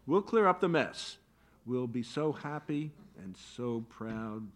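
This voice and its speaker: A man's voice: medium pitch, lively intonation, takes it slowly. A man reading the part of a fretful, doting wife and mother in a staged play reading, pleading and emotional.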